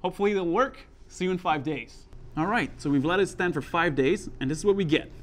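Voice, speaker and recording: A man's voice: sits high, lively intonation, fairly quick. A middle-aged man hosting a casual, explanatory science demonstration in an indoor lab, speaking informally as he works. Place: indoor school chemistry lab.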